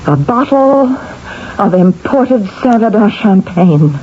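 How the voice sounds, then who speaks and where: Bitter, sardonic middle-aged wife, lively delivery. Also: female voice, medium pitch, slow. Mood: dry, sarcastic. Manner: dry, sarcastic, weary. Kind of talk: old-time radio drama acting. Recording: dull vintage radio recording, faint hiss.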